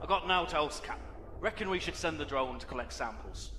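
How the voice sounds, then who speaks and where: A man playing a blunt, excitable spaceship crewman in a sci-fi audio drama. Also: a little high, a little fast. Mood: businesslike, wry. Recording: echoey hall-like ship bridge, noisy ambience.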